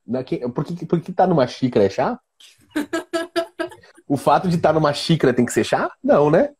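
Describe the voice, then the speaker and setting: A man with a southern Brazilian accent from Rio Grande do Sul, hosting a casual, joking chat in an informal, teasing, rambling way, with a husky voice. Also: fairly high pitch, wildly swooping pitch, normal speed, darkish tone, soft background music. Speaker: live-stream host; physiology and biomechanics professor.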